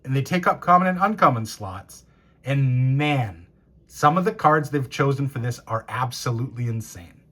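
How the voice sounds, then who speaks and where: A man in his thirties with a husky, raspy voice, still hoarse from a cold. His pitch moves up and down as he excitedly calls out card names and reads their rules aloud. He talks casually, as a store owner opening booster packs on camera. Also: normal speed. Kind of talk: casual box-opening commentary.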